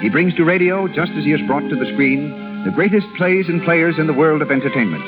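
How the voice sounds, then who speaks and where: Animated 1930s male radio announcer, loud music. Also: a little high, fairly quick. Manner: grand, formal, emphatic. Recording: muffled 1930s radio recording.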